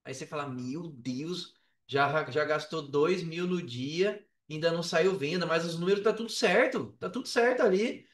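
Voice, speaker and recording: A Brazilian man with a fairly high voice chats casually on a podcast. He tells things in slangy, animated fashion. The sound is roomy, with him slightly away from the microphone. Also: moderate pace. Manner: slangy, animated storytelling with acted-out quotes. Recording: roomy echo, distant from mic.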